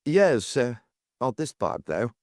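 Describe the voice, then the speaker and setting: A man's voice: medium pitch, big pitch swings, slow, a little mellow, no echo. A man voicing a breezy, wry young adventurer working as a tour guide, with a dry, teasing manner.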